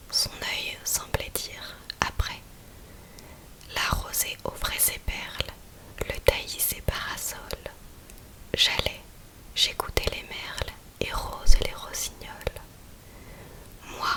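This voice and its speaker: Female ASMR host, fully whispered, unhurried, intimate and soothing, close-miked poetry reader. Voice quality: breathy, unvoiced whisper. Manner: soft, slow, intimate, soothing delivery. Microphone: close-up USB condenser microphone.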